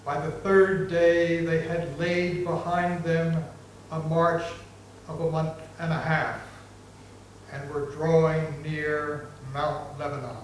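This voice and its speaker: A man narrating an ancient epic as a dramatic reading, solemn and measured. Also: fairly high pitch, little pitch movement. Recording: very echoey, distant, noisy room recording.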